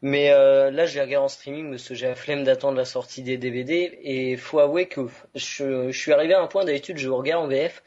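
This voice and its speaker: A man's voice: medium pitch, fairly even intonation, fairly quick, few pauses, darkish tone. A man hosting a casual podcast, talking enthusiastically with lots of fillers. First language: French. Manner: enthusiastic, chatty, many fillers. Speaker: podcast host. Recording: dull lo-fi podcast audio.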